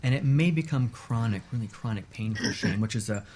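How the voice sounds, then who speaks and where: A man speaking as an expert guest on a radio talk show, explaining in a measured, emphatic way and repeating points for stress. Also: on the low side, a little mellow, a little hiss or hum.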